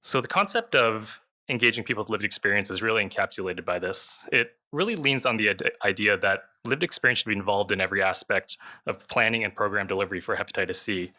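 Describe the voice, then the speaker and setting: A man's voice: lowish voice, fast, sharp tone. A man moderating a panel, giving a prepared introduction to an audience.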